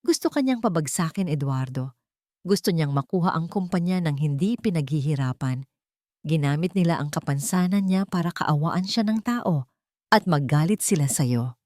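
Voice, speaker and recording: Woman narrator playing a manipulative wife in a romantic melodrama, gently poisonous and falsely tender, with a very dry sound. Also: low-pitched, expressive, normal speed, no echo. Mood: feigned sorrow. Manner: soft, coaxing, insinuating. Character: cunning, manipulative wife feigning tender concern. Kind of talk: dramatic audio story dialogue.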